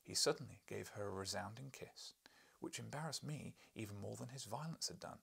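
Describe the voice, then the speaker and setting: A man's voice: lowish voice, fairly quick, gravelly, fairly bright tone, husky. A man in his thirties reading literary narration as an audiobook narrator. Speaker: audiobook reader. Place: furnished book-lined room, indoors.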